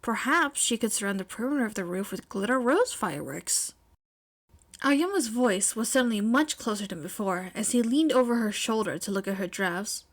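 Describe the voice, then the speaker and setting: Female audiobook-style reader, highish voice, expressive fiction narration. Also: normal speed. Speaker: fan fiction podfic reader. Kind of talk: expressive scripted story narration.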